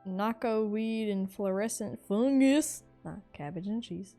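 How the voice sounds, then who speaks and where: A woman doing casual gameplay commentary, with slangy, playful, deadpan asides. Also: medium pitch, unhurried, soft background music. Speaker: game streamer.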